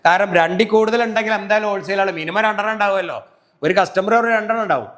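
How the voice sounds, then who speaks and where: A man's voice: sits high, fast talker, a bit bright. A middle-aged man hosting a casual promotional interview, chatty and prompting his guest with tag questions. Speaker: host interviewing a shop seller.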